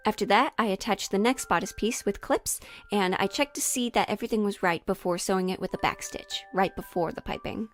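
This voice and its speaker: A woman's voice: medium pitch, brisk, few pauses, faint music behind. A woman sewing vlogger talking through her project, part narration and part casual chat.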